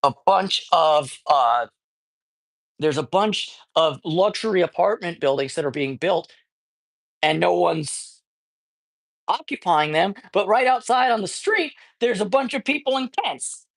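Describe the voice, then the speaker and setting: A man's voice: high voice, lively intonation, unhurried, gravelly. Rambling, ranting man on a livestream. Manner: ranting, profane, rambling with many restarts. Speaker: livestreamer and cat rescuer. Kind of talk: casual livestream chat. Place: cat colony.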